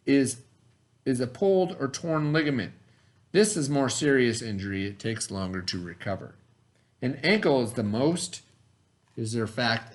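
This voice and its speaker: A middle-aged man reading a lesson aloud in an instructional way, pausing to explain and repeat key terms. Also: mid-range voice. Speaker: teacher reading aloud to children.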